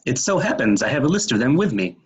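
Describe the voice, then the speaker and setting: A man playing a pedantic, pompous royal mathematician in a storybook readers theater, precise and emphatic, with a husky voice. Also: medium pitch, very animated, fast. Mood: self-important.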